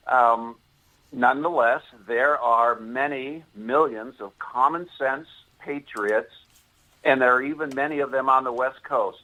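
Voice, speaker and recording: A man with an American accent and a mid-pitched voice speaks as a guest in a live interview. His phrasing is formal and oratorical, delivered earnestly and with deliberation. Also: pushed and bright, rough recording. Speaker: author and interview guest promoting a monument project.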